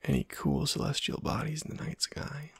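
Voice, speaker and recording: A man giving soft-spoken ASMR commentary over a video game, husky and often near a whisper, in a rambling, self-deprecating way. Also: fairly high pitch, sing-song, moderate pace, dry recording. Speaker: host of a gameplay video channel.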